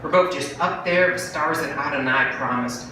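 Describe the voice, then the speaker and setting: A man voicing a fast-talking, wheedling brother pitching a bargain in a dramatic monologue from a short story. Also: natural voice. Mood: earnest, bitter. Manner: salesman-like, cajoling, animated. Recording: very echoey room, distant mic, noisy.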